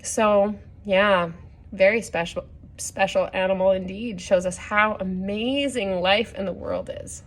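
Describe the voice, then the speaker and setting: A woman's voice: mid-range voice, fairly even intonation, a little slow, slight background noise. Woman reading a children's story aloud. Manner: gently instructive, puts questions to the listeners. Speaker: children's story time host and reader. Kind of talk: reading a picture book aloud with asides to children.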